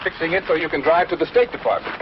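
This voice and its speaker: An American actor playing a mysterious, courteous eccentric inventor in a vintage TV drama, precise and calmly insistent. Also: male voice, a little high, quick pace, close to an everyday voice. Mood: calmly confident. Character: mysterious, polite, self-assured eccentric male inventor. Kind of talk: 1950s television drama acting. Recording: dull, noisy vintage TV soundtrack.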